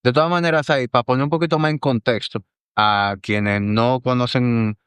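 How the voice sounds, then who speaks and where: Relaxed Dominican podcast host. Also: male voice, mid-range voice, normal speed, clear, resonant tone, dry recording. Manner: relaxed, slangy, conversational. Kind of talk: casual interview chat.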